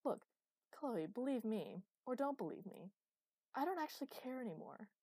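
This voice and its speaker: A woman's voice: on the high side, big pitch swings, normal speed, dark tone, husky, no echo, voice pushed up. A woman voicing an ancient, imperious female underworld ruler, bitter and sardonic, in a dramatized story. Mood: sad, resigned. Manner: bitter, sardonic, hissing outbursts.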